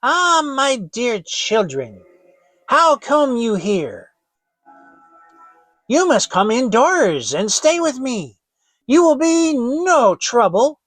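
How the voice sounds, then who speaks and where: A man's voice: extremely high pitch, big pitch swings, slow pace, pauses often, fairly bright tone, no room sound, soft background music, voice pushed up, full character voice. A man voicing a wicked old witch woman in a storybook, thin-voiced, spiteful and falsely sweet. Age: older.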